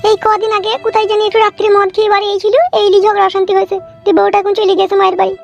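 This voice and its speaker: A woman voicing a worried, chatty middle-aged village mother in a cartoon, with a pitched-up effect. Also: completely flat delivery, racing, gravelly, faint music behind. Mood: troubled. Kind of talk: comic cartoon dialogue.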